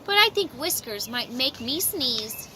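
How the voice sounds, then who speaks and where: A woman's voice: big pitch swings, unhurried. A woman voicing an eager, polite young boy turtle from a storybook, earnest and childlike. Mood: politely doubtful. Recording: noisy outdoor amateur recording, ambient rumble.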